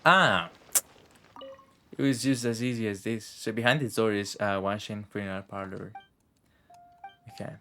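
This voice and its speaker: A man's voice: fairly low pitch, moderate pace, long pauses, bright, forceful tone, a little hiss or hum. A man giving casual live game commentary as a streamer, musing to himself and his chat. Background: faint game music.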